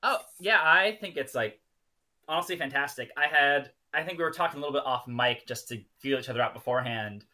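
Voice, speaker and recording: A man's voice: medium pitch, a little fast, bright, forceful tone. A man co-hosting a podcast, recapping and chatting casually with wry asides. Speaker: podcast co-host. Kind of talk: casual chat and episode recap.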